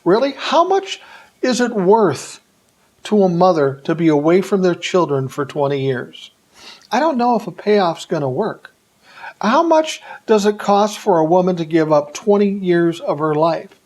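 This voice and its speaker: Higher-voiced American man giving blunt political commentary. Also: darkish tone. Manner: rhetorical questions answered by himself; blunt, colloquial, emphatic phrasing. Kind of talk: opinionated political monologue to an audience.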